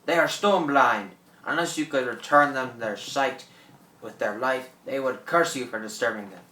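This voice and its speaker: An actor as a gloating old pirate, roomy sound. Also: male voice, mid-range voice, normal speed, sharp tone, close to an everyday voice. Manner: theatrical, gloating, cackling. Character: boastful old French pirate, greedy and menacing, newly revived. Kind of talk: radio play acting. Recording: roomy, distant microphone.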